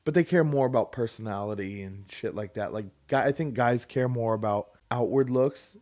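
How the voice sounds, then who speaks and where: A man's voice: mid-range voice, normal speed, a little mellow. Teasing man co-hosting a podcast. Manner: joking, teasing, restarts a lot. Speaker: podcast co-host. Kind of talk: casual banter.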